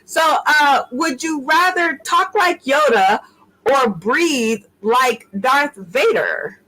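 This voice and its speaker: Unhurried, bright-voiced woman host. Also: high-pitched, expressive, poor audio. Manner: playful, laughs often, drawn-out phrasing. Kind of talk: casual chat.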